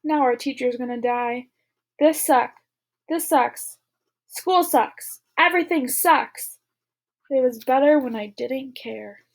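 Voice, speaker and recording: A woman's voice: sits high, takes it slowly, creaky, exaggerated voice. A woman voicing a guilt-ridden, upset schoolboy, reading his gloomy, bitter thoughts aloud. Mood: guilty, bitter.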